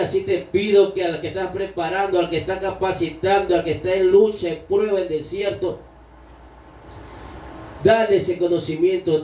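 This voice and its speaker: A man's voice: high-pitched, warm tone. A middle-aged man leading a spontaneous, pleading prayer, calling on the Lord after nearly every phrase, with frequent restarts. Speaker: prayer leader. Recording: roomy, distant, dull lo-fi sound.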